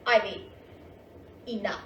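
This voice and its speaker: A woman's voice: medium pitch, steady tone, slow, pushed and bright. A woman acting a stern, strained middle-aged mother in a staged play reading, curt and admonishing. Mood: stern, weary. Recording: roomy, distant mic, faint noise.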